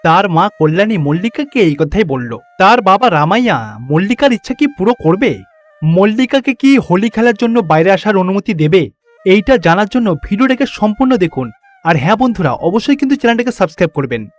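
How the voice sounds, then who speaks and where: A man's voice: sits high, big pitch swings, brisk, faint music behind. A man narrating a moral tale as a scripted storyteller.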